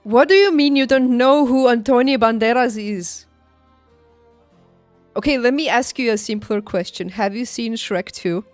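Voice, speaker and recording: A woman's voice: fairly high pitch, normal speed, no room sound, faint music behind. A woman livestreaming a game, chatting casually with her viewers in a playful, teasing way. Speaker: video game streamer.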